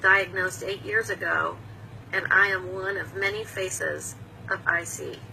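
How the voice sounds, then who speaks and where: Bright-voiced woman in her fifties explaining. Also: medium pitch, steady tone, unhurried, vocal fry, a little hiss or hum, low-quality recording. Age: 50s. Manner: plain, patient explaining with small restarts. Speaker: patient advocate. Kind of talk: earnest explanatory talk to camera.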